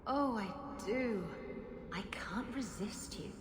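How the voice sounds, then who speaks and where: An actress playing a flirtatious, taunting adventuress in a video game, sultry and teasing. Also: female voice, medium pitch, expressive, takes it slowly, reverberant, crowd noise. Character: flirtatious, playful, taunting swashbuckling woman.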